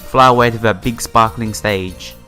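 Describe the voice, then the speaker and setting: Low-voiced man reading aloud over music. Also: normal speed, bright, forceful tone. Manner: stumbles over words while reading, repeats them. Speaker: let's player. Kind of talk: reading a visual novel aloud with casual commentary. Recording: background music behind the voice. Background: game music.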